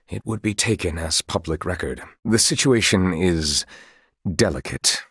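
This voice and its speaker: A man's voice: sits low, husky, dry recording, studio quality. A man playing a composed, proud knight commander of royal blood in a video game, speaking in formal, archaic, courtly phrases.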